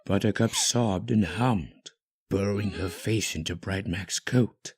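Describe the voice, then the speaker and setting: A man's voice: sits low, unhurried, husky. A man reading a fan-fiction story as a dramatic scripted narrator.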